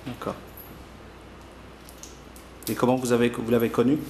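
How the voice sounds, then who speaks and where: A man's voice: medium pitch, flat delivery, moderate pace, a little mellow, echoey room, slight background noise. A man interviewing for a documentary, asking short, friendly prompting questions.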